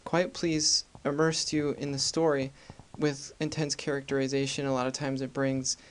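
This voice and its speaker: A man's voice: medium pitch, little pitch movement, moderate pace, clean tone. A man hosting a podcast, introducing things casually in an informal, jokey, self-deprecating way. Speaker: podcast host.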